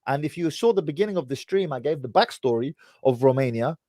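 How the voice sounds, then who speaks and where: A man's voice: fairly high pitch, expressive, quick pace, warm tone, no echo. Blunt, interrupting man with a dry voice. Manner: pushes back, interrupts with blunt questions. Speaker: host. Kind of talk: livestream debate with a caller.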